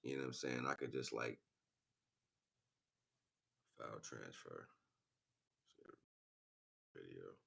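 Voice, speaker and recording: A man rambling casually on a livestream in slangy, muttered talk with a gravelly voice. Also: very deep voice, slow, long pauses, a bit bright. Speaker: live streamer. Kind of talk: casual unscripted livestream chat.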